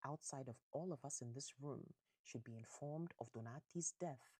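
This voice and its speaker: Woman storyteller as proud street-smart dad, low husky voice, blunt, commanding, story retelling. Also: moderate pace, dark tone, dry recording.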